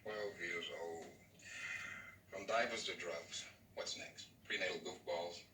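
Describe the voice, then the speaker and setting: An actor playing a brisk, world-weary, sardonic American hospital doctor in a 1960s television drama. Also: male voice, low-pitched, steady tone, bright, forceful tone, exaggerated voice. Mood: sardonic, stern. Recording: echoey, distant old TV audio, faint noise.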